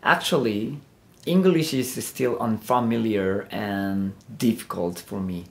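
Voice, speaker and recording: Slow, gravelly man with a Korean accent. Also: fairly low pitch. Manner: measured, carefully read delivery. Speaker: preacher. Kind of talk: sermon.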